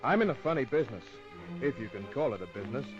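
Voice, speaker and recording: An actor playing a dry, world-weary private detective in an old radio crime drama, narrating and wisecracking. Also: male voice, mid-range voice, animated, quick pace, natural voice. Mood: wry, businesslike. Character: hard-boiled, wisecracking male private detective. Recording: muffled old radio recording with music.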